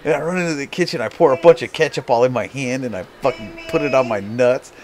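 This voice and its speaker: A man's voice: mid-range voice, fast talker, faint music behind. An American man casually telling a story on a live stream, swearing freely in a crude way. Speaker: video host and streamer. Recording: clearly noisy background.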